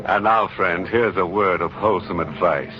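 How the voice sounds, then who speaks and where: A man's voice: low-pitched, moderate pace, heavy background noise. A man hosting a 1940s radio horror show in a droll, mock-sinister drawl. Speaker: host. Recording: muffled 1940s radio recording.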